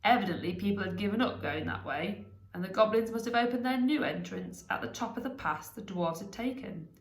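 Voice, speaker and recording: A woman narrating a storybook aloud in an expressive reading style. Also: medium pitch, brisk, reverberant, poor audio.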